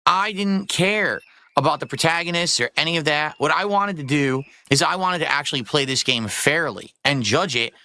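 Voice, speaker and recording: Man, streamer, bright crisp edge, fairly high pitch, wordy explanatory monologue. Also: normal speed. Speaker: video game streamer.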